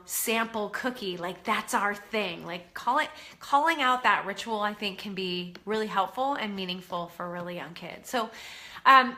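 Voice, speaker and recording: A woman's voice: medium pitch, sharp tone. A middle-aged woman with a head cold and a nasal voice, chatting as a parenting coach in a live online class, encouraging and full of ums. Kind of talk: casual live-stream talk to viewers.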